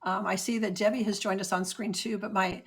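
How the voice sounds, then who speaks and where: A woman's voice: mid-range voice, steady tone, a little fast, breathy edge. A woman hosting a live online program on a video call, friendly and organizing, with many ums. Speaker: host.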